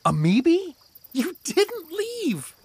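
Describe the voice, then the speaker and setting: A man's voice: very high voice, wildly swooping pitch, slow, darkish tone, exaggerated voice. A man playing a bumbling, good-natured married everyman hero, hesitant and folksy, in a fantasy comedy audio drama. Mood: startled.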